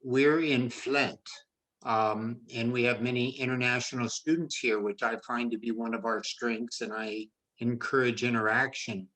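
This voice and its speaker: A man hosting a faculty interview, friendly and a bit halting with restarts. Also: medium pitch, steady tone, fairly bright tone, poor audio. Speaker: interview host, business law lecturer.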